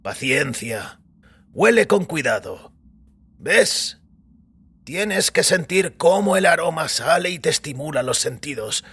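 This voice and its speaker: Elderly priest, weary, ceremonious, raspy, gravelly, slow instructive manner, audio fiction. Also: male voice, a little high, very animated, pushed and bright, pitched above the performer's own voice, exaggerated voice. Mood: savoring, instructive. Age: older. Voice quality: raspy, creaky. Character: old priest, weary, ceremonious connoisseur of drink.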